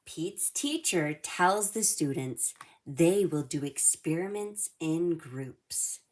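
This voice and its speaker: A woman reading a children's storybook aloud in a measured, simple way for young listeners. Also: low-pitched, animated.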